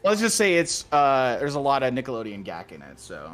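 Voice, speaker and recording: Stream chatter: lively, swooping delivery, middle register, halting and repetitive, soft music underneath. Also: medium pitch. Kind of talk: casual group chat on a live stream.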